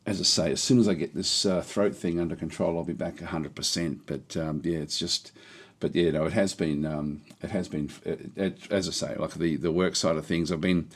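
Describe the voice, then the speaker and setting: A middle-aged man streaming a game, chatting casually with a slightly hoarse voice that is on the mend, rambling with many restarts. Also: low-pitched, fairly even intonation. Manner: rambling, halting, lots of restarts and fillers. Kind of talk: casual live-stream chat.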